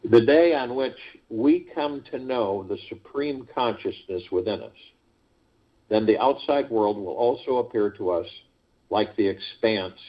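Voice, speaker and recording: A man hosting a guided meditation call, speaking in a measured, deliberate way with pauses between phrases, over a phone conference line. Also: fairly low pitch. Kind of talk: guided meditation talk, reading prepared spiritual text. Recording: muffled, lo-fi conference call audio.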